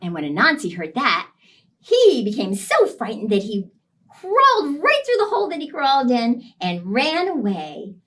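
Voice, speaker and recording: Animated woman telling a children's story. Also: a little high, moderate pace, far from the mic. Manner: expressive, playful.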